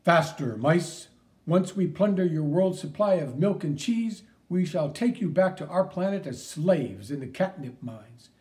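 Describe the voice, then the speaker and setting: A man reading an audiobook, voicing a scheming alien cat villain with loud, booming commands. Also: animated, a little slow. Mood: gloating.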